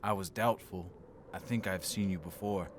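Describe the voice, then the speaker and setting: An actor playing a troubled, polite old railway signalman in an audio drama, speaking gravely and deliberately. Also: deep voice, fairly even intonation, a little hiss or hum, natural voice. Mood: uneasy. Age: older. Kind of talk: audio drama acting, telling a ghostly tale.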